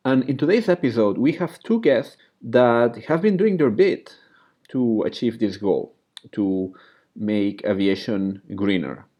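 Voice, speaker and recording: A male podcast host with a mid-pitched voice who reads a careful introduction and then questions his guests. The sound is slightly dull and lo-fi. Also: expressive, unhurried, warm tone. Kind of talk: scripted introduction then interview.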